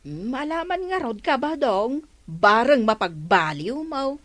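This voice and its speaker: An advising village woman in radio comedy, close and dry on the mic. Her high, expressive voice coaxes as it moves up and down. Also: measured pace, no room sound, heavily acted. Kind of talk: comedy radio drama acting.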